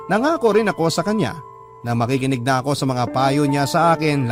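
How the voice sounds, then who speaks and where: A Filipino man narrates a scripted first-person story for radio drama. His voice sits at an average pitch. Music is clearly audible behind him.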